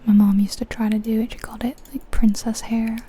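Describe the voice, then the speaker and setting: A woman whispering breathily in ASMR style, giving gentle, chatty commentary on a game as she goes. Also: on the high side, flat delivery, a little fast, a little hiss or hum. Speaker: ASMR video host.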